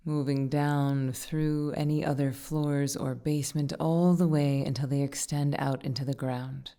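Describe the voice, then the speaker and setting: Very low-pitched, mellow woman guiding meditation. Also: clear, resonant tone. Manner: unhurried, gentle, with frequent pauses between short phrases. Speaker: meditation teacher and guide. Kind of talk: guided meditation, slow soothing instruction.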